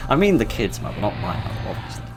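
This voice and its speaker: British male gamer, lower register, droll casual narration over prominent game music. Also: wildly swooping pitch, fast talker. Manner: dry, tongue-in-cheek humour. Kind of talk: casual game commentary, reading story text aloud.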